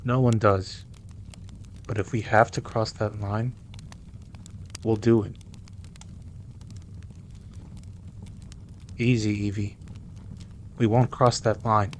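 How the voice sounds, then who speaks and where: Over clear background noise comes a low-pitched mafia boss, acted by a man. He is cocky and possessive, sweet-talking and teasing in the manner of a romantic audio drama. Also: level tone, measured pace, pauses often, lightly acted. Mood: confident, plotting. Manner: smooth-talking, teasing, possessive, self-assured. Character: dominant, flirtatious, cocky male mafia boss. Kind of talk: intimate romantic audio roleplay acting.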